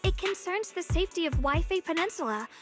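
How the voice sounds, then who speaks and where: A woman playing an earnest, formal young swordswoman in an anime-style video game, measured and polite. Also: very high voice, expressive. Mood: apologetic, grave. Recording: game dialogue over prominent music.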